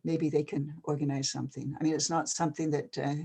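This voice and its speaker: An older person from Canada, talking casually and hesitantly with many pauses and fillers. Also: mid-range voice, flat delivery, gravelly, mellow, breathy edge. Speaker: retired NGO advisor. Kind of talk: casual video-call conversation.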